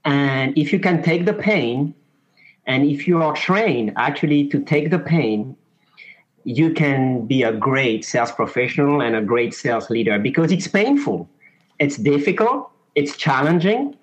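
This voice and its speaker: A middle-aged man from Mauritius with a French accent, answering interview questions as a sales professor, pausing often with "uh". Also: medium pitch, lively intonation, lo-fi sound. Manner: thoughtful, many "uh" pauses.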